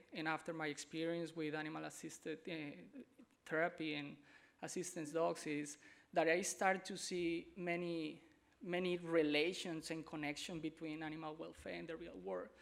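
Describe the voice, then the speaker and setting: A man from Colombia with a Colombian Spanish accent, a native Spanish speaker, giving a conference presentation in a halting way, searching for words and repeating himself. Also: a little high, little pitch movement, gravelly, fairly bright tone. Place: conference hall.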